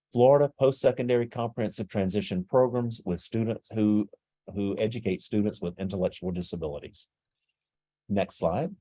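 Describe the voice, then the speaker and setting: A man with a stuffy, nasal voice presenting a webinar and explaining things in a steady way over a video call. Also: medium pitch, dry recording.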